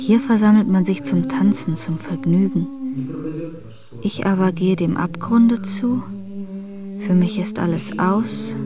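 Actress as slow-spoken, resigned young noblewoman. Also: mid-range voice, a crowd in the background, natural voice. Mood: bleak despair. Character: young noblewoman bidding solemn farewell, despairing yet resigned. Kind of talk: radio drama monologue.

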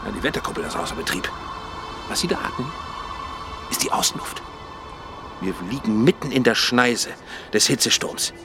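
A man's voice: mid-range voice, sing-song, moderate pace, long pauses, over music. An actor playing an agitated male insider in a science-fiction radio drama, speaking formally, theatrically and insistently. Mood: agitated, urgent. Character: agitated male insider warning of a murderous system.

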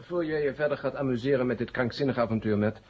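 An actor playing a helpful, wry inventor friend of the hero in a radio drama, talking casually and coaxingly. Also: male voice, mid-range voice, quick pace, heavy background noise, lightly acted. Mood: jaunty. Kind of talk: 1970 Dutch radio drama acting. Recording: muffled 1970 radio play recording.

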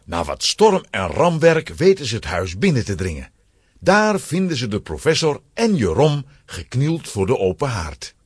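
A man's voice: mid-range voice, huge pitch swings, moderate pace, husky, no room sound, studio quality. A man narrating a children's audio drama from a script, as a storyteller.

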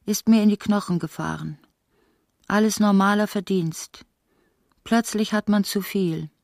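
A woman narrating a literary radio play in a measured, articulate way, voicing its long speeches. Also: mid-range voice, creaky, a little mellow, dry recording, clean studio sound. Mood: measured narration.

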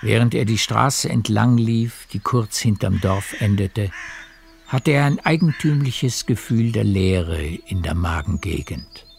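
A male narrator reads literary prose for a radio drama in a low, gravelly voice. His delivery is measured, and faint music plays behind him. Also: lively intonation, a little mellow. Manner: measured storytelling.

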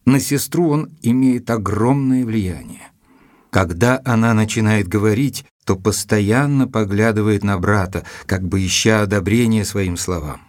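An actor playing an earnest, educated gentleman who narrates his letters in a measured, expressive way for an audio drama. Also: fairly low pitch, no echo, studio quality, close to an everyday voice. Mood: thoughtful, concerned. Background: music.

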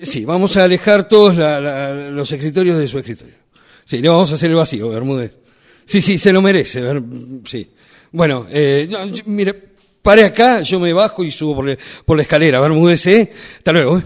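A man improvising a one-sided dialogue as a hurried, scornful character. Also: fairly high pitch, lively intonation. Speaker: radio drama workshop participant.

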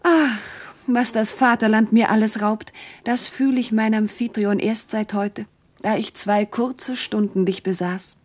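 An actress playing a tender, devoted noble wife, speaking classical verse with earnest, lyrical declamation in a radio drama. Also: female voice, a little high, fairly even intonation, a little slow, a little mellow. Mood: yearning.

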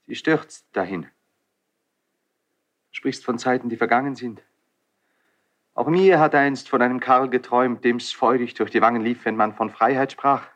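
Ein Mann spielt einen leidenschaftlich zerrissenen jungen Kronprinzen. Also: male voice, mid-range voice, moderate pace, pauses often, lightly acted. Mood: despairing. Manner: declamatory, emotional, swinging from hushed to outbursts. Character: anguished, passionate young crown prince in love. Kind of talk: classical German verse radio drama acting.

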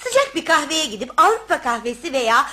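A woman's voice: high-pitched, very animated, fairly quick, sharp tone, full character voice. An actress playing a fussing middle-aged wife and mother in a radio play, exclaiming as she talks. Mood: nagging. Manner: fussy, exclamatory. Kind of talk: radio theatre acting.

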